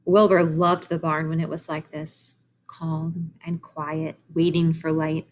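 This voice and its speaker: Woman, teacher-like storybook reader, animated, explanatory, speaking to children. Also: low-pitched, slow. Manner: animated, explanatory, addressing young listeners. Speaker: teacher reading a children's book aloud. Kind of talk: expressive storybook read-aloud with asides to children.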